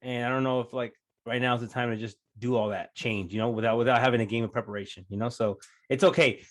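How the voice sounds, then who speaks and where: Man, podcast co-host, easygoing, relaxed filler-heavy chat. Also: medium pitch, fast.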